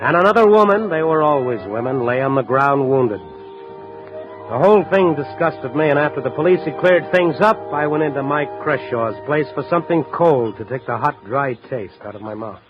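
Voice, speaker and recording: Actor as a world-weary radio noir reporter, muffled. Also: male voice, mid-range voice, expressive, moderate pace, close to an everyday voice. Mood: wry, somber. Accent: American. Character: world-weary male night-beat newspaper reporter, reflective, hardboiled. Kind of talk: 1950s radio drama acting, first-person noir narration. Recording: muffled vintage radio recording with music.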